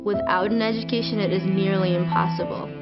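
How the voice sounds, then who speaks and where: A teenage girl from Ethiopia, a student, narrating a scripted video in an earnest, measured way. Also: mid-range voice, fairly even intonation. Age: teens. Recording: muffled, over guitar music.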